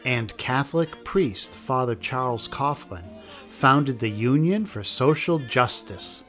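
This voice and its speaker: A man's voice: mid-range voice, lively intonation, slow, a bit bright, soft background music. A man narrating history from a script as a documentary narrator.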